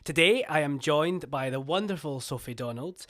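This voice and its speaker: A man's voice: fairly high pitch, expressive, normal speed, fairly bright tone. A young man hosting a podcast, greeting his guest and asking questions in a loose, trailing conversational way. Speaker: podcast host. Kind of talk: casual podcast interview.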